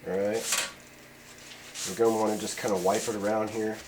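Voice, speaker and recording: A man's voice: on the low side, level tone, moderate pace, noisy background. A man in a home workshop giving a rambling, casual how-to talk with many fillers. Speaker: channel host demonstrating a repair.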